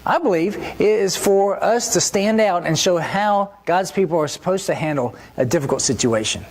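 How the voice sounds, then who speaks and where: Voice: medium pitch, animated, normal speed, a little mellow. A preacher giving a church sermon in a conversational way, often asking the listeners rhetorical questions.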